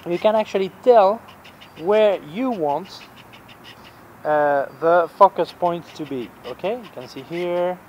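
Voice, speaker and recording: A man's voice: a little high, rough recording. Hesitant man with a foreign accent. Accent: non-native English. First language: not English. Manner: hesitant, many fillers and repeated words. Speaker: camera reviewer. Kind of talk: casual camera review vlog. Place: outdoor urban plaza on a bench. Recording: faint outdoor street noise. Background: faint street ambience.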